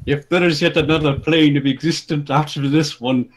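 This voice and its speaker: A man's voice: fairly high pitch, steady tone, moderate pace, voice pushed up, close to an everyday voice. Man doing a spiteful, melodramatic little brawler. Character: short irritable male brawler, spiteful in defeat.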